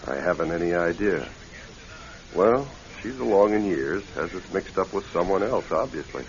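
An actor playing an earnest, quietly intense widower who is courting, in a classic radio drama style. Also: male voice, deep voice, lively intonation, moderate pace. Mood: puzzled, courteous. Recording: dull, lo-fi old radio recording.

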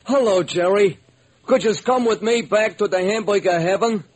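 High-pitched eager, gullible radio sidekick. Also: male voice, moderate pace, breathy edge. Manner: folksy, excitable, uneducated slangy speech. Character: eager, gullible, good-hearted ex-burglar diner owner, male. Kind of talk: old-time radio comic sidekick acting. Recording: slightly noisy old radio recording.

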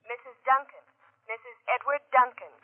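An actress playing a flustered, dutiful American office secretary in a 1940s radio drama. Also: female voice, high-pitched, steady tone, moderate pace, close to an everyday voice. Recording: tinny, very noisy old radio broadcast.

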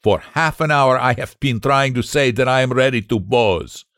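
A man voicing a fierce little old painter with a German accent, gruff and loud. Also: medium pitch, moderate pace, dry recording, studio quality, pitched above the performer's own voice, lightly acted.

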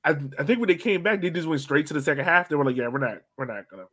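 A man's voice: on the high side, lively intonation, rapid-fire, pushed and bright. A man hosting a soccer fan podcast in casual chat, informal and slangy.

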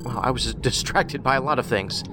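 An actor playing an eccentric, analytical federal investigator in an audio drama, wordy and dryly whimsical. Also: male voice, medium pitch, normal speed, background noise, natural voice. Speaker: federal agent, profiler.

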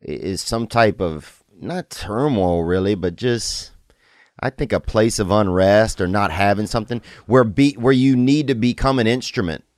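A man's voice: fairly low pitch, moderate pace, a little mellow, no room sound, studio quality. A man from Louisiana chatting on a podcast in a laid-back, reflective way, thinking aloud. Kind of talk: casual podcast chat.

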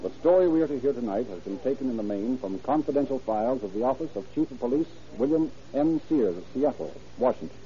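A man announcing on 1930s radio in a polished, formal, scripted style that suits sponsor pitches and narration. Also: lively intonation, quick pace, hardly any pauses, over music. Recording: muffled old 1930s radio broadcast recording.

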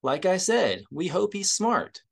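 A man's voice: high-pitched, very animated, a little slow, creaky, breathy edge, voice pushed up, full character voice. A man voicing a brash, shrewd woman hustler in an audiobook, cocky and wry as she bargains. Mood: confident.

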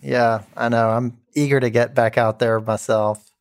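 Smooth-voiced male interviewer. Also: mid-range voice, steady tone, normal speed. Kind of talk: interview questions.

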